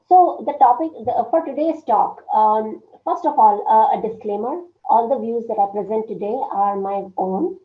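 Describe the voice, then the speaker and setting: A woman giving an online workshop presentation with a strained, hoarse voice, speaking haltingly with many fillers and frequent throat clearing. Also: medium pitch. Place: indoor room at home. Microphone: laptop webcam on a video call. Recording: phone-like video call audio, roomy echo.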